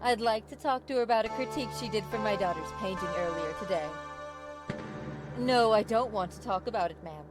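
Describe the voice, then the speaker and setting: A woman's voice: on the high side, natural voice. A woman playing an indignant, pushy mother with an insistent, confrontational manner in an audio drama. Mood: politely firm.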